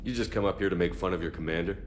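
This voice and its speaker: A man's voice: low-pitched, very fast, a little hiss or hum, close to an everyday voice. A man playing a steadfast space military commander in a video game, speaking in a firm, direct, resolute way. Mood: calm, direct. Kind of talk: video game dialogue acting.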